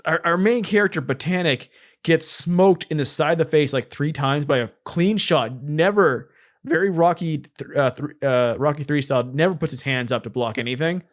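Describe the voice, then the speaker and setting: A man's voice: a little high, normal speed. A man co-hosting a podcast in casual, comedic film-review chat, explaining things with repetition and the odd swear word. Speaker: podcast co-host.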